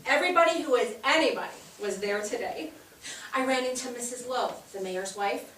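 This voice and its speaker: An actress in a staged reading playing a bubbly, bossy 1860s society wife, gushing and insistent in a theatrical way. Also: female voice, a little high, expressive, brisk, fairly bright tone. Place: theatre stage, recorded from a distance. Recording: echoey stage, distant mic, faint noise.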